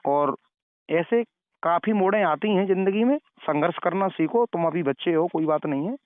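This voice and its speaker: Guy preacher lecturing someone on a phone call, kinda high voice, repeats himself, tinny line. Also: moderate pace, no room sound. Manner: elder-brotherly, moralizing, repeats points for emphasis. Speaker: religious preacher giving advice. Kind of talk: admonishing phone conversation.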